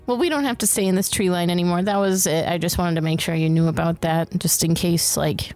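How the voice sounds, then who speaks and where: A woman's voice: fairly low pitch, steady tone, a little fast, a little mellow, faint music behind. A woman playing in a tabletop role-playing podcast, talking casually, partly in character in her everyday voice. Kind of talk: casual in-character game chat.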